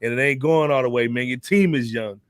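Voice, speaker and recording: A man's voice: medium pitch, fairly quick, no echo. Slangy American man talking hip hop. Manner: slangy, emphatic, repeats himself. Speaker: podcast host. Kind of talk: casual hip hop panel discussion.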